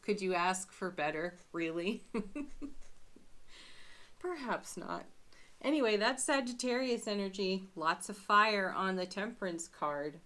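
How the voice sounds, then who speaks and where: A woman giving a chatty daily tarot reading, musing aloud with frequent soft laughs and a slightly scratchy voice. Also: mid-range voice, expressive, a little slow, long pauses. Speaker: tarot reader.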